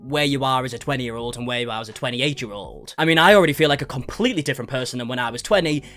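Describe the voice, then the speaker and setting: A man's voice: medium pitch, racing, fairly bright tone, faint music behind. A man in his twenties giving casual, exasperated reaction commentary, chatty and swearing at times. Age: 20s. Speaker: commentary YouTuber.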